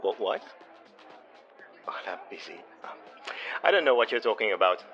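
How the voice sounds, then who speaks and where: An actor playing a wry, guarded psychic-for-hire with dry, hesitant banter in an audio drama. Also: male voice, lowish voice, big pitch swings, moderate pace, sharp tone, lo-fi sound, faint music behind, full character voice. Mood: flustered. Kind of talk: naturalistic horror fiction podcast acting.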